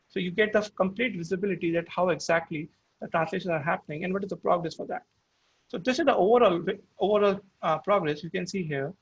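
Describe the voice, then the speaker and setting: A man's voice: high voice, vocal fry, breathy edge, highs cut off. A man with an Indian accent and Hindi as his first language, giving a webinar product demo, explaining step by step with frequent restarts. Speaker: product presenter.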